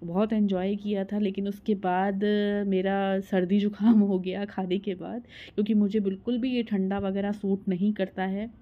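A woman's voice: mid-range voice, little pitch movement, moderate pace, phone-quality sound. A woman in her thirties from India, narrating her home vlog in a voice-over, chatty and explaining each step with frequent filler sounds. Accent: Hindi. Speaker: vlogger.

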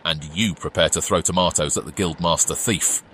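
A man's voice: low voice, brisk, a bit bright, a little hiss or hum, low-quality recording. An actor playing a sneering, menacing male deputy in a full cast audio drama. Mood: smug.

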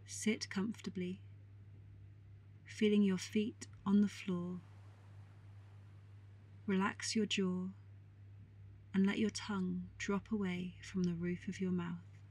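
A woman leads a guided meditation in a soft, husky, breathy voice at a medium pitch. She speaks extremely slowly and calmly, leaving long pauses between short, soothing instructions.

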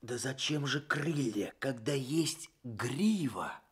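Husky, slow, eager straw scarecrow. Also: male voice, mid-range voice, lively intonation, exaggerated voice. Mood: eager, reasoning. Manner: eager, simple-minded step-by-step reasoning. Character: naive, cheerful living straw scarecrow, male. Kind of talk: Soviet children's radio play acting.

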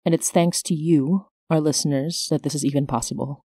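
A woman's voice: low-pitched, steady tone, a little fast, mellow, breathy edge, dry recording. A woman hosting her podcast, reading scripted announcements as its creator.

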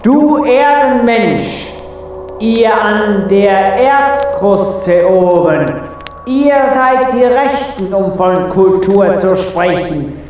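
A man's voice: on the high side, very slow, warm tone, distant mic, dull audio, slight background noise. A man playing a pompous, scornful ruler of the underworld in an amateur 1980 radio play, with heavy reverb added to his voice. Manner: grandiose, solemn, scornful. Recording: echoey 1980 tape, music behind.

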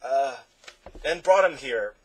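A man's voice: medium pitch, animated, slow pace, noisy background. A man playing an exasperated, showy doctor in a storybook, declaiming his points with indignant pride. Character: theatrical, exasperated male doctor of noise, proud showman.

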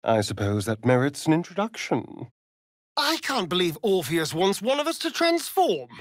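An actor playing a sly, vain vampire rogue in a video game, with a silky, theatrical, mocking drawl. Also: male voice, high-pitched, huge pitch swings, moderate pace, no room sound, clean studio sound. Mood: teasing, disdainful. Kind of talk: video game character voice lines.

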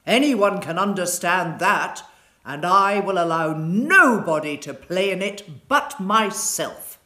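A gruff, selfish storybook giant, acted out by a woman reader. He speaks slowly and loudly, weighting each word with possessive force. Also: lowish voice, animated, roomy sound, heavily acted. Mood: gruff, angry. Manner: gruff, slow, emphatic.

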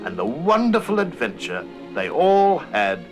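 Male children's storybook narrator, lightly high voice, animated intonation. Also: unhurried, music in the background.

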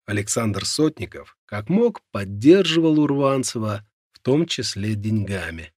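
A man with a gravelly, husky voice narrating a nonfiction audiobook in a measured, dramatic storytelling way. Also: mid-range voice, a little mellow, dry recording, studio quality.

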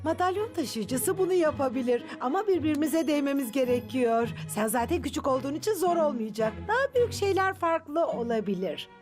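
High, lively cartoon grandmother. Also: fairly quick, hardly any pauses. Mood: excited. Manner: expressive, storytelling. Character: kindly grandmother, storytelling keeper of a magic locket. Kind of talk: animated children's cartoon dub. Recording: music behind the voice.

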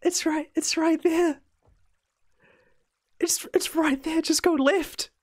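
Young kiwi guy streaming a farming game, highish voice, goofy back-and-forth with chat. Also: steady tone, slow pace. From New Zealand. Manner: playful, jumps to high squeaky protests when teased.

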